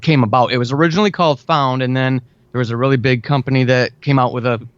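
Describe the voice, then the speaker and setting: A man's voice: mid-range voice, fairly even intonation, fast, clear, resonant tone, no room sound, muffled recording. An American man talking chattily and with enthusiasm as a guest in a conversational interview, repeating words for emphasis. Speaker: podcast guest, audio drama writer.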